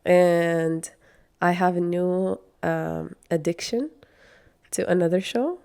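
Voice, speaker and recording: A woman's voice: on the low side, slow, gravelly, dark tone, smooth. A young woman whose first language is Arabic, co-hosting a podcast in a chatty, enthusiastic way. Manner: chatty, enthusiastic, many fillers and restarts. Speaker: podcast co-host. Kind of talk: casual chat.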